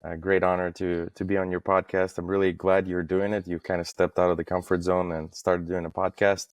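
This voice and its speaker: A man in his thirties from Estonia, talking as a podcast guest in a halting way, with many fillers and restarts. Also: low voice, little pitch movement, no room sound. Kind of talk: casual interview talk.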